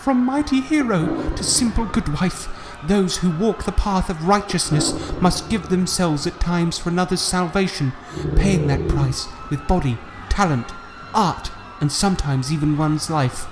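A man's voice: high voice, unhurried, hardly any pauses, over music, pitched above the performer's own voice. A man voicing a childlike, solemn, mystical spirit voice in a tabletop game, on a noisy recording. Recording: noticeably noisy home recording.

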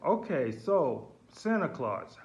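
A man around forty speaking in a casual, probing way, halting and deliberate, recorded on a phone indoors. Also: a little high, very animated, vocal fry, darkish tone, lo-fi sound. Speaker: vlogger questioning a character on the phone. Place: small indoor room with sloped wooden ceiling. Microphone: phone at arm's length.

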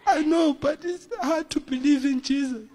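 Young man with a high voice, halting and pleading in front of a congregation. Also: steady tone, darkish tone. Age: young adult. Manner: halting, pleading, broken English. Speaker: congregant receiving deliverance. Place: church service before an audience.

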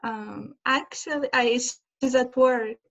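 Slow-speaking woman from Israel on a call. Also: sits high, gravelly, fairly bright tone. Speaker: volunteer English teacher. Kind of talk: casual video call introduction. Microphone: laptop mic on a video call. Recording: dull lo-fi call audio.

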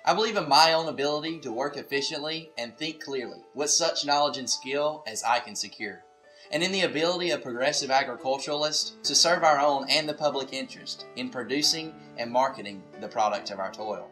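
A man's voice: mid-range voice, brisk, low-quality recording. A young man formally reciting a creed. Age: young adult. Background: soft guitar music.

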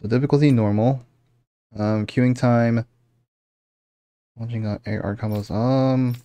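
A man's voice: mid-range voice, steady tone, a little slow, dark tone, clear, resonant tone, dry recording. A man hosting a casual let's-play, groggy from just waking up, rambling with many fillers and restarts. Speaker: gaming channel host.

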